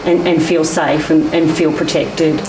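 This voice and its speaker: A woman teacher answering interview questions in an earnest, steady way. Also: deep voice, unhurried. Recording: distant, echoey room with background noise.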